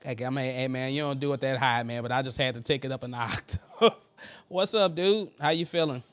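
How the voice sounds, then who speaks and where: A man's voice: medium pitch, brisk, clear, resonant tone, dry recording. A man with a thin voice hosting a casual live tutorial on vocal mixing, loosely chatting with viewers as he works. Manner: loose and chatty, full of fillers and asides to the chat. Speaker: host teaching vocal mixing.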